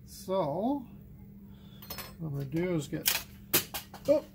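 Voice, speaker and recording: A middle-aged man giving a casual, unscripted workbench tutorial, thinking aloud haltingly as he works with his hands. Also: fairly high pitch, sing-song, vocal fry, background noise. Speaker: hobbyist demonstrating cassette repair. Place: indoors at a wooden workbench.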